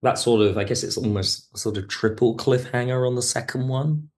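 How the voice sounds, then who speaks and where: A man's voice: mid-range voice, a little fast. A man talking casually as an interview guest, thinking aloud in broken phrases as he explains his creative work. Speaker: podcast guest, audio drama writer.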